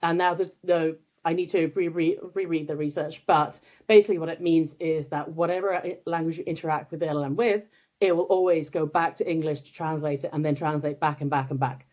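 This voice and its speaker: A woman's voice: low voice, steady tone, fairly quick, darkish tone. A woman from Finland, a native Finnish speaker, talking thoughtfully as a guest expert in a casual interview, with many restarts and fillers. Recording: dull lo-fi sound.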